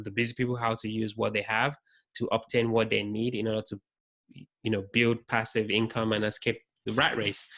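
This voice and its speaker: A man with a light, bright voice hosts a casual interview. He asks friendly questions with frequent fillers, and his voice sits at an ordinary pitch. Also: poor audio. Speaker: podcast host.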